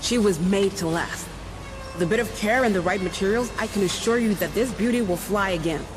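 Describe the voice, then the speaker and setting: A man's voice: high voice, a little fast, over music, natural voice, rough recording. A man voicing a wry, plainspoken ship engineer. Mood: matter-of-fact. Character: gritty, practical male ship engineer. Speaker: chief engineer. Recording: game audio with ambient noise.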